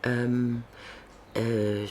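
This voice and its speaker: A woman's voice: extremely low pitch, little pitch movement, drawn-out words, mellow. An older woman, a radio guest, speaking wistfully and searching for her words.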